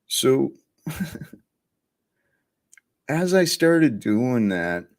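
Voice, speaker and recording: A man with a lowish voice hosts a livestream at a very slow, reflective pace. He pauses often and lets his thoughts settle before going on. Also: a little mellow. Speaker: livestream host.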